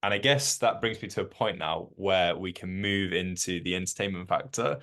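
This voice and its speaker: Deep voiced guy hosting an interview, loose rambly questions, lots of likes. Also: moderate pace, a bit bright. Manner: rambling questions full of "like" and restarts. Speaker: interview host. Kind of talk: casual interview.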